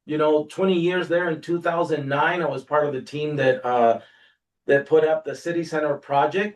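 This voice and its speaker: Man in his 60s, a bit off-mic. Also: mid-range voice. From Peru. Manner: relaxed storytelling with frequent "uh" pauses. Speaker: guest, workforce agency director. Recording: voice slightly distant from mic.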